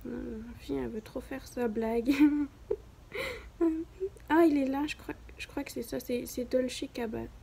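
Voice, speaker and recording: Woman, southern French accent, young adult, mellow tone, chatty, rambling, self-mocking, casual vlog. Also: on the high side, steady tone, normal speed, creaky, breathy edge. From southern France. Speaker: beginner vlogger. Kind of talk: casual chat to camera.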